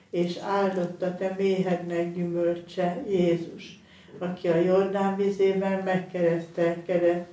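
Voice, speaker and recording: A woman leading a rosary, reciting the prayer in a measured, monotone way in a very echoey space, away from the mic. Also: fairly low pitch, distant mic.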